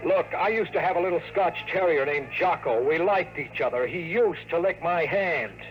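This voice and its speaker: A man's voice: on the high side, normal speed, dull audio, natural voice. An actor playing a wisecracking, world-weary male private investigator who narrates and talks in hardboiled 1950s radio drama style. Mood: pressing, wry. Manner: wry, slangy, sardonic. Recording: old radio broadcast with music.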